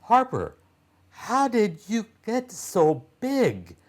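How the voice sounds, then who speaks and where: Man putting on a slow, higher-pitched curious young boy. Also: wildly swooping pitch, heavily acted. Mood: amazed.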